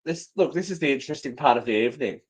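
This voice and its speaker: A woman's voice: rumbling low, animated, rapid-fire, darkish tone, slightly rough. A woman co-hosting a casual livestream, joking around in a theatrical, sweary way. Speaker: co-host of a live show.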